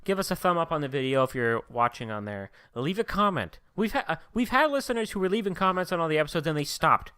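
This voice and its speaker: A young man co-hosts a casual podcast, cracking jokes and plugging the show in an upbeat way. His voice is bright and smooth, with a lively, swinging pitch. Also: fast talker, hardly any pauses. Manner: upbeat, joking, plugs the show. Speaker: podcast co-host.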